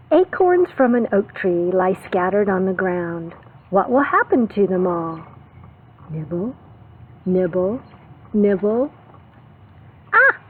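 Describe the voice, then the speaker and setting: Lady doing storytime for little kids, reads slow n expressive, thin phone-like sound w/ some faint noise. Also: mid-range voice. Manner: expressive, simple teacherly phrasing with questions to children. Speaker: preschool teacher reading a picture book aloud. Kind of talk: storytime read-aloud for young children with simple explanations. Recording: phone-like narrow band, faint background noise.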